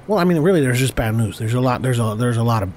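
A man with a cold, hoarse and stammering, hosting an improvised comedy podcast and constantly correcting himself. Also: medium pitch, expressive, fast talker, a little mellow, slight background noise. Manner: rambling, stammering, self-correcting. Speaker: podcast host.

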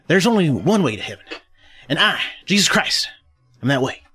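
A man's voice: a little high, sing-song, normal speed, sharp tone. A man playing a solemn, authoritative divine judge in an audio drama. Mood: solemn, declaring.

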